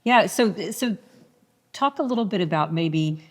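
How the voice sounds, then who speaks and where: A woman's voice: lowish voice, animated, unhurried. A woman hosting a podcast interview, asking her questions in a conversational way with long, looping lead-ins. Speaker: podcast host. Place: large room in a private car collection. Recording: long hall reverb.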